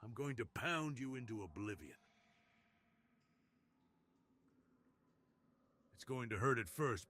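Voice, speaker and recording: A man voicing an alluring, dominant crime boss in an intimate ASMR roleplay, speaking in a slow, teasing, hushed way. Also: fairly low pitch. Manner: slow, teasing, hushed delivery that drops into whispers. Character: alluring, dominant, seductive male crime boss with a tender side.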